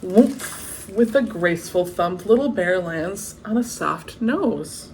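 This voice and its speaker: A woman's voice: medium pitch, animated, slow, a little mellow, a little hiss or hum, rough recording. A woman reading a children's picture book aloud expressively, with chatty asides and exclamations of wonder. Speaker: read-aloud storyteller.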